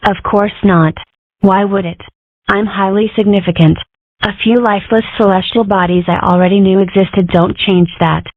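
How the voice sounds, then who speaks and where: A woman's voice: mid-range voice, steady tone, normal speed, no echo, natural voice. A woman voicing a brash, self-important little cartoon girl who rambles and makes grand declarations, in a comic strip dub. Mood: whimsical, opinionated. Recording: muffled, phone-like narrow audio.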